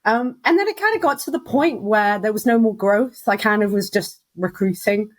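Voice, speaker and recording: A woman's voice: mid-range voice, lively intonation, moderate pace. Chatty woman in her thirties. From Wales. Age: 30s. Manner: chatty storyteller, acts out quoted voices, laughs often. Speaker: guest, recruitment business founder. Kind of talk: casual interview chat.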